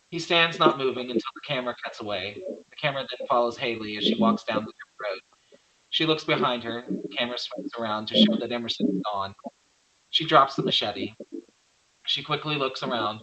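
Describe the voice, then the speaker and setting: A man narrates a screenplay, reading out action and scene headings. His voice is mid-pitched, bright and forceful. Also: low-quality recording. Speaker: narrator reading stage directions. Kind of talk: scripted narration of horror screenplay.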